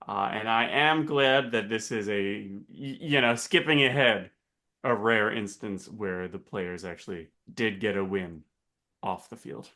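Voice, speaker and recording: A man with a bright, forceful tone and a fairly low pitch co-hosts a podcast. He offers opinions in casual talk, in halting phrases. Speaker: podcast co-host.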